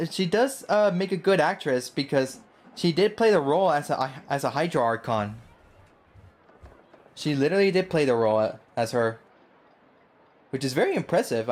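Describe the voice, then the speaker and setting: A man live streaming a game, commenting casually and slangily, often stumbling over and repeating his phrases. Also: fairly high pitch.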